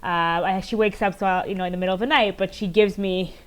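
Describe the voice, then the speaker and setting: A woman's voice: mid-range voice, steady tone. Earnest woman guest telling her story. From United States, Maryland. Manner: earnest storytelling, many "um" pauses. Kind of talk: conversational interview answers. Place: home in Jerusalem, on a remote call.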